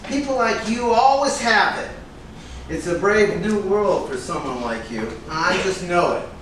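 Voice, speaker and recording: A man playing a pushy, fretting talent agent in a staged reading, with halting, deliberate delivery. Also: high-pitched, expressive, fairly bright tone. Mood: flattering, evasive. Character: pushy, fretting male talent agent, accusing then flattering. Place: room at a live staged reading. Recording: echoey room, distant mic, noisy.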